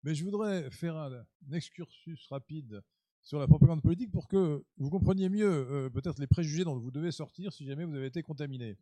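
A male radio host with a slightly high but mellow voice, making emphatic, lecturing points. He restarts phrases now and then as he builds his argument. The recording is dry and close. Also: fairly quick, no echo. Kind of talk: radio interview commentary.

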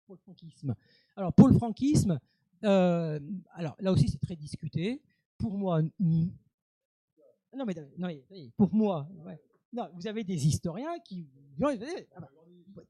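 A man with a fairly high yet deeply dark, mellow voice, speaking as a guest author on radio. He halts often, filling gaps and repeating words as he thinks. The sound is dry and close. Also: no echo, rough recording. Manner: hesitant, many fillers and repeated words. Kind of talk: radio interview answer.

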